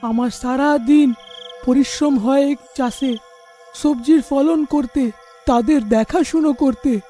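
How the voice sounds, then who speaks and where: A man playing a weary, worried village farmer husband in a cartoon fairy tale, complaining in a plaintive, deliberate way. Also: very high voice, dark tone.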